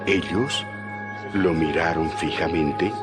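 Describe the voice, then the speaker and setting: Narrador masculino grave y pausado. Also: male voice, sits low, music in the background. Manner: expressive storytelling. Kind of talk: scripted dramatized Bible narration.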